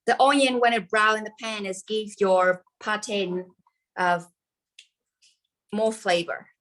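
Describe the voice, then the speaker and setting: A woman's voice: medium pitch, steady tone, slow pace, fairly bright tone. A woman from central Vietnam, a native Vietnamese speaker with a central Vietnamese accent, teaching a cooking class informally in simple non-native English with dropped words. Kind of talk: informal live cooking instruction.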